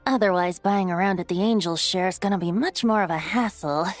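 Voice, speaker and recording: A woman's voice: on the low side, normal speed, soft background music, lightly acted. A woman voicing a carefree, wry, boyish young male bard in a video game, with an easygoing manner. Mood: amused, easygoing.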